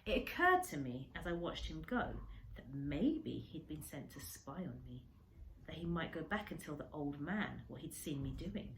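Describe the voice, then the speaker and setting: A woman with a low voice reads a children's story aloud in the expressive way of a primary teacher. She leans dramatically on the descriptive words. There is clear background noise on the amateur recording. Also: moderate pace, low-quality recording.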